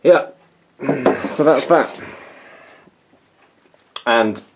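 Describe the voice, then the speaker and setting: A man's voice: medium pitch, drawn-out words, a little hiss or hum. A young man with an English accent, from England, chatting casually and poking fun at himself in a plant vlog. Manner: self-mocking, dry humor. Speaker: plant vlogger.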